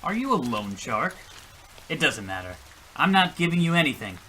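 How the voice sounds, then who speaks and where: Man as defensive gullible husband, raised pitch, lively swoops, rambling, exasperated, radio comedy. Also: normal speed, slight background noise. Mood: indignant. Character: gullible, rambling, defensive husband telling his tall story. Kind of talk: old-time radio comedy acting.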